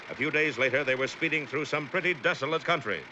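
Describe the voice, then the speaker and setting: Mock-serious serial narrator: highish, forceful, urgent, florid classic cartoon delivery. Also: male voice, quick pace, dull audio. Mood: urgent, dramatic. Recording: old cartoon soundtrack with faint hiss.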